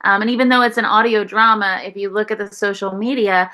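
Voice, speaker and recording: A woman with a bright, forward voice at a medium pitch. She explains things conversationally as a podcast guest. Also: fairly even intonation, fast. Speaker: podcast guest, cast member. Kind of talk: casual chat.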